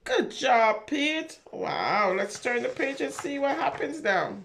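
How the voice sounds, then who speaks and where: A woman reading a picture story aloud and chatting to preschoolers in an animated, encouraging, sing-song way, speaking directly to them. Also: high-pitched, slow pace, slight background noise. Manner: animated, encouraging, talking directly to small children. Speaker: children's story reader and craft host. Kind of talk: read-aloud and chat for preschoolers.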